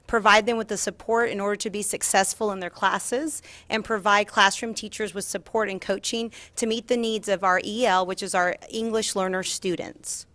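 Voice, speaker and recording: A woman's voice: mid-range voice, steady tone, normal speed, few pauses, fairly bright tone, no echo. A woman giving a formal presentation as a school district administrator at a meeting. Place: school board meeting room.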